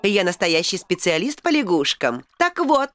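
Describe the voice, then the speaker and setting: A woman voicing a self-assured, slightly prim fairy nanny in a cartoon dub, brisk and knowing. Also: on the low side, big pitch swings, a bit bright, faint music behind.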